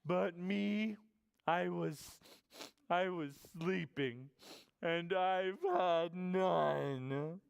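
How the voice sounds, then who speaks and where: A storyteller voicing a big sad bear from a picture book, grumbling and moaning in drawn-out words. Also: medium pitch, creaky, clean tone, dry recording, voice pushed up. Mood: hurt, aggrieved.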